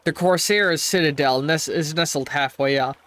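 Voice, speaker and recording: A man's voice: fairly high pitch, moderate pace, a bit bright. A man with a stuffy nose streaming a game, reading its text aloud and stumbling over words, with bursts of playful trash talk. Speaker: let's play streamer. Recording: faint game music behind the voice.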